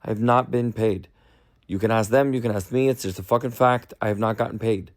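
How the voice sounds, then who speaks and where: A man's voice: fairly low pitch, steady tone, a little fast. Exasperated man ranting defensively. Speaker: content creator. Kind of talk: defensive rant to camera.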